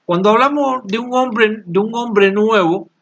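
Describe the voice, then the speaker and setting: A man in his late sixties from Cuba, answering interview questions earnestly and haltingly, often repeating words as he searches for them. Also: high-pitched, a bit bright, muffled recording. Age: 60s. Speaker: channel creator, interviewee.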